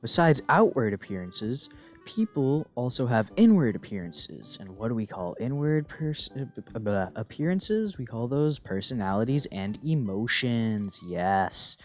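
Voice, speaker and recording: A teenage boy chats on in a loose, rambling way, tossing in goofy asides. His pitch swings widely up and down as he talks. Faint music plays softly underneath. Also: big pitch swings, measured pace. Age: teens. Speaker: gaming channel host. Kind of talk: casual rambling monologue.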